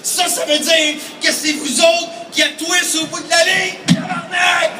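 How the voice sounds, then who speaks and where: A man in his forties with a Québécois French accent doing stand-up comedy, animated and shouting his punchlines. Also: extremely high pitch, measured pace, over music. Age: 40s. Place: large comedy festival hall. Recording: distant mic in echoey hall, crowd noise.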